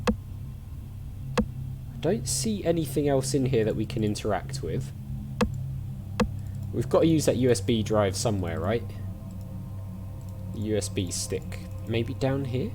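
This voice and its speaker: A man hosts a casual video game playthrough, thinking aloud and asking himself questions. His voice sits at a middle pitch and moves around in a lively way. Soft music plays behind him. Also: slow pace, pauses often. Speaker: video game player and channel host. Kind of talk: casual let's-play commentary.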